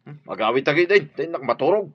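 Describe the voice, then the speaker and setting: A man's voice: on the high side, animated, fast, breathy edge, lo-fi sound. A man playing a grumbling, indifferent, self-serving husband in a radio drama.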